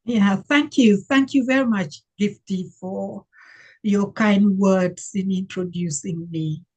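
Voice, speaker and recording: An older woman from Uganda recounts her life as an interview guest. She talks slowly and reflectively, pausing to search for words. Her voice is somewhat husky, at a middle pitch. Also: dark tone. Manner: unhurried, reflective, with many fillers and searching pauses. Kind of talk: conversational interview storytelling.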